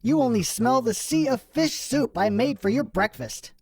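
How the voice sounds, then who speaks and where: Woman as a creaky, homely giantess. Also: fairly high pitch, animated, moderate pace, a little mellow, no echo, studio quality, pitched above the performer's own voice, full character voice. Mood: scolding.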